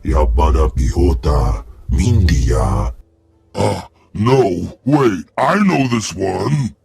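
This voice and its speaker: A crude alien creature from a sci-fi game. The low voice is slow and full of gravel, croaking out alien gibberish. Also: male voice, animated, dark tone, exaggerated voice. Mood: gruff. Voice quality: raspy, croaking growl. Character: gruff, crude male alien speaking invented alien tongue. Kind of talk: video game creature acting.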